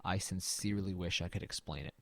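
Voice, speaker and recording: A man acts as a brooding male narrator of a first-person horror audio drama. His voice sits low, in a very dry recording. He tells it in a measured, uneasy way, as someone exploring a dead man's house. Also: gravelly, a bit bright, no room sound. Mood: tense.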